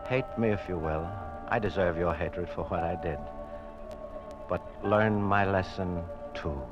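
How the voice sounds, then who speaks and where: A man's voice: on the low side, natural voice. Man acting earnest condemned man, muffled old radio. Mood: solemn, remorseful. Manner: earnest, dramatic. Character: defiant, remorseful condemned man on trial for treason.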